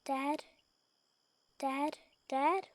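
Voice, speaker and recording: A small, high voice of a young boy, acted out for radio drama, slow and mellow. He is polite and hesitant, quick to apologise, and the sound is close and dry. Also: no room sound. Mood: timid, uneasy. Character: timid, polite young boy who cannot sleep.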